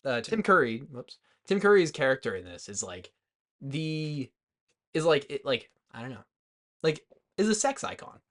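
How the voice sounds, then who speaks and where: A man with a mid-pitched voice in casual podcast conversation. He feels his way through his thoughts in loose, halting phrases and leans often on the word "like". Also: very animated, a bit bright. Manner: hesitant, loosely phrased, frequent "like". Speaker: podcast co-host. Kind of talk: casual movie discussion.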